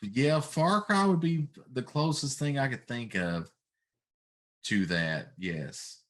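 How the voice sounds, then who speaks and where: A man's voice: medium pitch, expressive, slow, a bit bright. A middle-aged man in casual, informal conversation as a talk show co-host. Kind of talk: casual chat.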